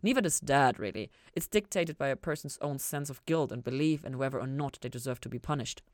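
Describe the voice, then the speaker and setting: A woman reader acts out a male devil in an audiobook tale. The voice is low and very dry in the room sound, with a suave, clipped delivery. He sounds wry, formal and slightly beleaguered. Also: brisk, darkish tone, dry recording. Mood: dry protest.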